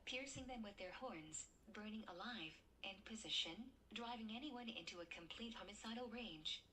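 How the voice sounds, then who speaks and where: A woman playing a news anchor who reads breaking disaster news in a formal, measured way. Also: mid-range voice, pushed and bright, natural voice. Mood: urgent, formal. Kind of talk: scripted breaking-news narration.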